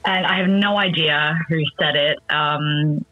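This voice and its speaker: A man co-hosting a podcast, chatting casually and softly with fillers. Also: fairly high pitch, fairly even intonation, unhurried. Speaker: podcast co-host. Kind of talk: casual chat. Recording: dull lo-fi recording.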